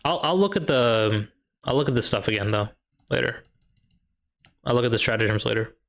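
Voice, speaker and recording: A young American man with a light Chicago accent streams a strategy game, talking in a relaxed, mid-pitched voice. He muses half to himself and reads out viewer questions as they come in. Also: fairly quick, gravelly. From United States. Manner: thinks aloud, reads and answers chat questions. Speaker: streamer and high school math teacher. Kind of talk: casual live-stream chat while gaming.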